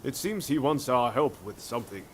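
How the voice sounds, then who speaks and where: An actor playing an earnest, reflective young samurai in a video game, thoughtful and sincere. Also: male voice, medium pitch, animated, normal speed, a little hiss or hum, close to an everyday voice. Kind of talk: video game cutscene acting.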